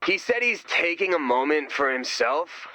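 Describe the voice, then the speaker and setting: A man's voice: a little high, very animated, normal speed, pushed and bright, no room sound, faint music behind, exaggerated voice. An actor playing an eager, smug, nerdy young male sidekick in a comic video game style. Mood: chipper, curious. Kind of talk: animated video game voice acting.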